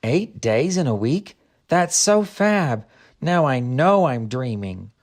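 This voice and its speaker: A curious young girl from a storybook, acted out by a man reading aloud. The delivery is eager and questioning, with pitch that swings widely as in an audiobook for families. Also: sing-song, takes it slowly. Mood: delighted. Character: Lizzie, curious, excitable young girl visiting a storybook land. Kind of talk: audiobook character dialogue.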